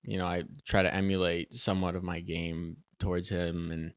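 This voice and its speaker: A man's voice: low voice, normal speed, clear, resonant tone, no room sound, clean studio sound. A young man from Chicago, a podcast guest and soccer player, chatting casually with many fillers and restarts. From Chicago, United States. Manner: relaxed, many fillers and restarts, laughs easily. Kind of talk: casual interview chat.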